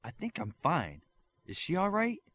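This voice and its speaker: Innocent, confused boy for a superhero audiobook, played by a man, artless and plain, lifted pitch. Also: huge pitch swings, unhurried, fairly bright tone, full character voice. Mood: calm, puzzled.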